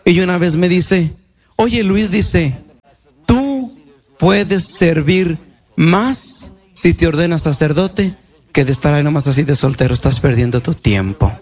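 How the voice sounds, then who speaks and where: High-pitched priest giving testimony. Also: male voice, a little slow, a little mellow. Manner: colloquial and devout, repeats phrases for emphasis. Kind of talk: personal testimony preached to a congregation.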